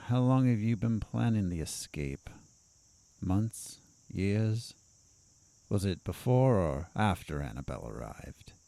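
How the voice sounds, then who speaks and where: An actor playing a sardonic asylum doctor in an audio drama, probing and needling in a confrontational way. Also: male voice, fairly low pitch, very animated, slow, gravelly, dark tone, no room sound, full character voice.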